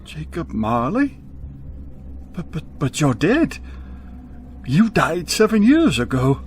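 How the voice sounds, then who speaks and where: An actor in a radio play playing a grumpy, frightened old miser who stammers and blusters haltingly. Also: male voice, a little high, huge pitch swings, a little mellow, a little hiss or hum, heavily acted. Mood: skeptical, blustering.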